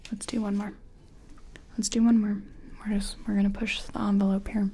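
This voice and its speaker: A woman speaking softly, mostly in whispers, in an ASMR makeup-artist roleplay, murmuring step by step as if to a client. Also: mid-range voice, little pitch movement, moderate pace, breathy edge. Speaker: ASMR creator role-playing a makeup artist. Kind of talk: soft-spoken ASMR personal attention roleplay.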